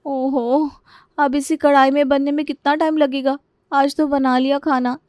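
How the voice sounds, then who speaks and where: A woman's voice: high-pitched, flat delivery, a little slow, a little mellow, dry recording, clean studio sound. A woman playing a whiny, modern young daughter-in-law who complains dramatically in a Hindi family story. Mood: exasperated. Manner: exasperated, dramatic complaining with exclamations.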